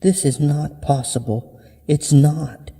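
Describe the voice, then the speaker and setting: A man's voice: fairly high pitch, fairly even intonation, takes it slowly, gravelly, dark tone, breathy edge, long reverb tail, voice pushed up, full character voice. A man playing a timid sixteen-year-old girl in a horror story, speaking meekly and hesitantly in a raised voice. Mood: frightened, bewildered. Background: music.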